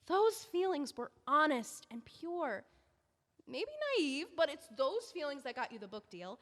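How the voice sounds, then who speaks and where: A woman plays an earnest young editor in an audio drama, her high voice swinging all over as she pleads and rushes from one thought to the next. A long, reverberant room tail hangs behind every line. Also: fairly bright tone. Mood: firm. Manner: earnest, rushing, emotional pleading.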